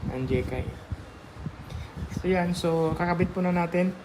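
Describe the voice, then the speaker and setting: A Filipino man with a fairly high voice narrates a casual tutorial for his vlog. He speaks informally and haltingly, often searching for his words. Clear background noise runs behind him. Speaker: motovlogger and host giving a product installation guide.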